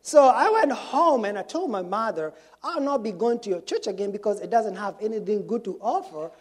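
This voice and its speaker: A middle-aged man from Kenya with a Kenyan accent, telling his testimony to a church congregation in an unhurried storytelling way, with many pauses and fillers. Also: sits high. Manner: warm storytelling with frequent fillers. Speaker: missionary speaker.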